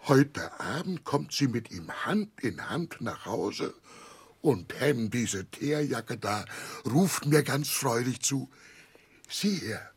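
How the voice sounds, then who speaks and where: A simple, rough old seafaring uncle in a 1960s radio play. The voice is deeply husky and a little low, moving slowly and haltingly. It rises and falls widely with proud, tender, overjoyed emphasis. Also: male voice, lively intonation, exaggerated voice. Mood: overjoyed, excited. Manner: emotional, halting, emphatic storytelling with exclamations.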